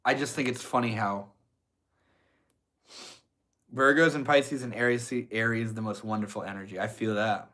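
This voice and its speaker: A man's voice: fairly low pitch, steady tone, normal speed, pauses often, fairly bright tone. A young man with a stuffy nose, casually chatting with his livestream viewers and swearing freely. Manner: laid-back, swears often, chats with viewers. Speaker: livestreamer reading chat.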